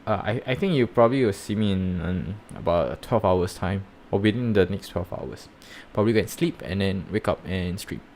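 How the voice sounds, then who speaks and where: A man with a Singaporean accent giving rambling, halting commentary while live-streaming reviews of projects. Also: low voice, a little mellow, slight background noise. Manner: rambling, halting, many fillers and restarts.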